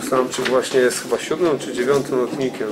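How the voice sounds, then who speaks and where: A man's voice: mid-range voice, fairly even intonation, fairly quick. Man chatting informally in an echoey room. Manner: informal, choppy, often ends phrases with a questioning tag. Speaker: pigeon breeder. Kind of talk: casual talk presenting birds. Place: indoor room at a table. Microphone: camera mic a few steps away. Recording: roomy echo, birds cooing.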